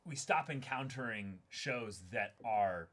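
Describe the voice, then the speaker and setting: A man's voice: mid-range voice, animated, slow, a bit bright. A man who hosts an online reaction channel, talking casually and unscripted in a reflective, explanatory way.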